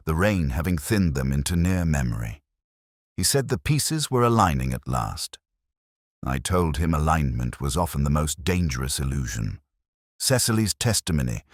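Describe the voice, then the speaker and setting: A man narrating a detective story in the first person, in a measured, brooding, literary manner. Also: a bass voice, dry recording, studio quality.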